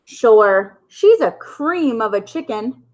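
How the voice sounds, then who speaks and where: A woman's voice: on the high side, lively intonation, slow, a little mellow. A woman reading as a jokey, callous farm man. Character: joking, callous male farmer and truck driver.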